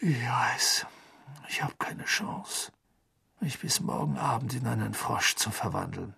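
Weary teen wizard boy, subdued, read by a man, husky and low-key. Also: normal speed, a little mellow, no room sound. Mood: glum. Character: tired teenage wizard boy, glum.

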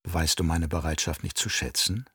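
Man acting a soft, seductive captive woman, low-pitched. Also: normal speed, breathy edge, no room sound, studio quality, heavily acted. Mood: soft, coaxing. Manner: soft, coaxing.

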